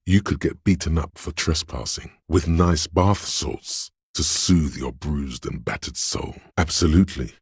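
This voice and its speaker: Brooding sardonic pain voice for a game, super gravelly and slow, dry close sound, goading narration. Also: male voice, no room sound, exaggerated voice. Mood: grim, sardonic. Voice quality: deep, gravelly, husky. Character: inner voice of pain, a grim sardonic goading presence. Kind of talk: dramatic second-person game narration.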